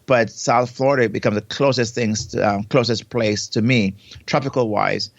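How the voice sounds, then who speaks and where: A Jamaican man co-hosts a podcast from home, talking at length and circling back over his words. His voice sits at a middle pitch. The dry recording sounds dull and lo-fi. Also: fairly even intonation, moderate pace, creaky, no echo. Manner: expansive, repeats and restarts words. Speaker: podcast co-host. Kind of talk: casual podcast chat. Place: home in South Florida, remote recording. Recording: dull, lo-fi remote audio.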